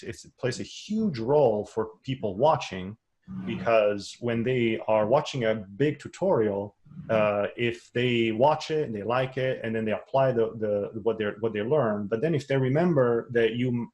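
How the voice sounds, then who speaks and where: A man's voice: mid-range voice, darkish tone. A man, not a native English speaker, teaching a webinar lecture in a conversational way with frequent restarts and repeated words. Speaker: course instructor.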